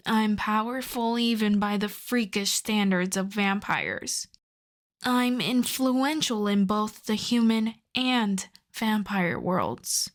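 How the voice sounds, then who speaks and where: An obsessive, seductive vampire woman , acted by a woman in an ASMR audio drama. Her voice sits a little high and moves very slowly. She coaxes the listener intimately, with smug authority, and often slips toward a breathy whisper. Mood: menacing, possessive. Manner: slow, intimate, coaxing, slipping into breathy whispers. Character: possessive, seductive yandere female vampire, smugly commanding.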